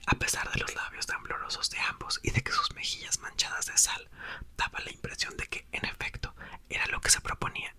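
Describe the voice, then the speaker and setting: A young man, host of his channel, whispering a book aloud and chatting softly to viewers. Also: brisk. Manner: soft, whispered throughout. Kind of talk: ASMR reading and chat.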